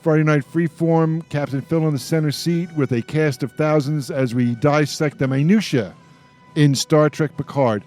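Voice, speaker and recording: A man's voice: fairly high pitch, steady tone, normal speed, a little mellow, faint music behind. A man hosting a casual panel discussion on the radio. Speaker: radio show host. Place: radio studio.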